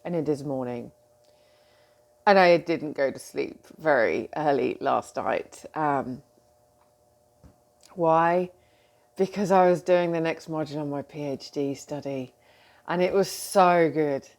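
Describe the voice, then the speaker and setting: A middle-aged British woman talking casually to her followers as a coach, chatty and animated, with frequent ums and asides. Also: sits low, slow, pauses often. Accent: British English. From Britain. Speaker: coach and host. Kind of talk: casual talk to camera.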